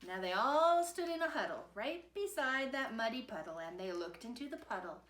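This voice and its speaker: A woman's voice: on the high side, animated, moderate pace, a bit bright, reverberant. A woman narrating a rhyming children's story in a playful, rhythmic singsong. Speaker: storybook reader.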